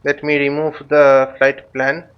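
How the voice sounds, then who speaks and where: A slightly dull, lo-fi recording of a man with a fairly high voice. He gives a casual walkthrough as a tutorial host, thinking aloud in short, halting phrases. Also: little pitch movement, clear, resonant tone. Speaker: host of a flight simulator tutorial. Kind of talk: casual spoken walkthrough while flying a simulator. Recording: dull, lo-fi microphone sound.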